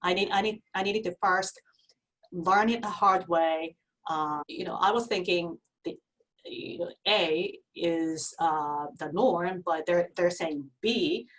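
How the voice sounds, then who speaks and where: A woman in her forties with a Japanese accent talks as an interview guest, earnest and a bit halting. Her voice is fairly low for a woman. She sounds set back from the microphone. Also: fairly bright tone, recorded from a distance, poor audio. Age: 40s. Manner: earnest, frequent restarts and fillers. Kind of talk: casual interview.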